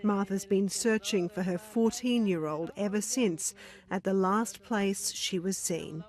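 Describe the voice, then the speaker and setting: A woman reporter, reading scripted news narration in a measured, serious way. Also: mid-range voice, darkish tone.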